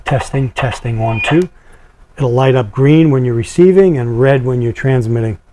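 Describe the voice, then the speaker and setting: A man's voice: medium pitch, normal speed, noisy background. A man talking casually as a video host, explaining gear in plain words, step by step. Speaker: product reviewer and video host. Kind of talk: casual how-to product demonstration.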